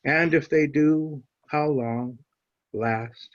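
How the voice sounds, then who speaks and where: Slow-spoken older man, dull audio. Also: fairly high pitch, no room sound. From Canada. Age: 70s. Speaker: poet reading own work. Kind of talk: informal introduction and measured poetry reading. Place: online video call. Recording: dull, lo-fi online call audio.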